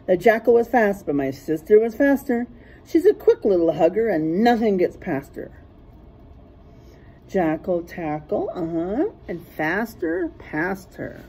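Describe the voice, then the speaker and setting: A middle-aged woman reading a rhyming picture book aloud in a teacherly way, pausing to point out word pairs. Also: medium pitch, animated, warm tone, a little hiss or hum, rough recording. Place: small classroom, indoors.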